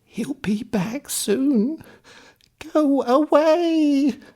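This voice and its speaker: A man's voice: very high-pitched, lively intonation, very slow, darkish tone, pitched above the performer's own voice, exaggerated voice. A man voicing a wary little old woman in a fairy tale, giving urgent warnings. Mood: hushed, urgent.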